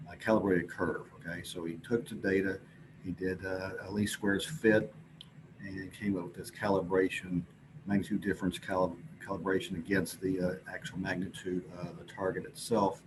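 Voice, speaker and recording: A man presenting scientific slides informally, explaining in a halting, hesitant way with pauses. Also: low voice. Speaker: presenter at an astronomy symposium. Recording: clearly noisy recording with background ambience.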